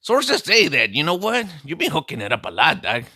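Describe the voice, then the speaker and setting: A man's voice: fairly high pitch, wildly swooping pitch, moderate pace, bright, forceful tone, husky, no room sound, clean studio sound, heavily acted. A man playing a boastful, heartbroken streetwise vato, telling a comic story in slangy talk. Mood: swaggering. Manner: slangy, calls listener "dog" constantly, boastful storytelling. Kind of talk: comic character monologue.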